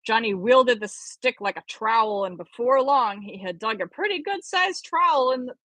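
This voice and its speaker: A woman's voice: a little high, lively intonation, moderate pace, a bit bright, no echo. A woman reading a story aloud expressively as an amateur audiobook reader.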